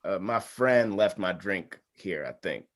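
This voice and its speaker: An actor playing an awkward, self-deprecating, good-natured young groom in a comedy table read, casual and dry. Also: male voice, low voice, lively intonation, a little slow.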